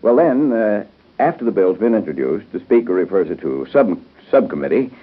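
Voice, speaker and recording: A man's voice: on the low side, big pitch swings, brisk, slightly rough. An American man acting as an earnest doctor in a 1930s radio soap opera, explaining things with small hesitations. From United States. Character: earnest, determined male doctor explaining plans to family. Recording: muffled, tinny 1930s radio broadcast.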